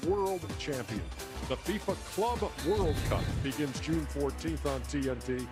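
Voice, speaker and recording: A man's voice: a little high, animated, a little slow, over loud music. A man reading promotional copy in the style of a broadcast announcer.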